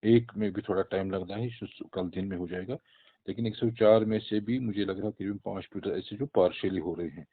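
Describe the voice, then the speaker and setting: A male government official with a Kashmiri accent briefs an interviewer in a fairly low voice. He explains point by point and drops in English terms. He is heard over a tinny, narrow phone line. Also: fairly even intonation, fairly quick, slightly rough. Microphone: phone call.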